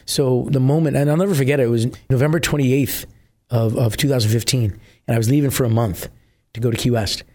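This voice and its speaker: A middle-aged man from New Jersey, a musician guest with a husky voice, chatting casually and rambling with many fillers. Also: medium pitch, fairly even intonation, fast talker, dark tone. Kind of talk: casual interview chat.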